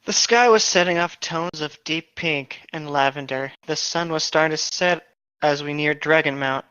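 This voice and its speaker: Bright-voiced man narrating a fantasy story. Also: on the high side, normal speed, smooth, no room sound. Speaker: co-host reading aloud. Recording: dull lo-fi mic.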